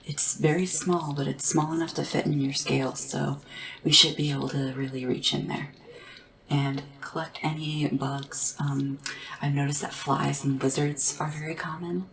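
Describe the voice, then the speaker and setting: A woman speaking softly and whispering in an ASMR roleplay as a polite, hesitant, deferential sleep assistant to royalty. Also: low voice, fairly even intonation, moderate pace, gravelly, recorded from a distance, rough recording. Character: nervous, devoted royal sleep assistant to a dragon ruler.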